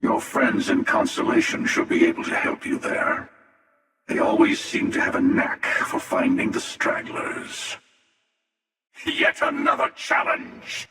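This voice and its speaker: An actor playing a ruthless, world-weary, ancient hunter from space in a video game, taunting and sardonic in manner. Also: male voice, medium pitch, huge pitch swings, a little slow, vocal fry, bright, forceful tone, full character voice. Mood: weary, matter-of-fact. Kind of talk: video game character acting. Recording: roomy, distant, long reverberant echo.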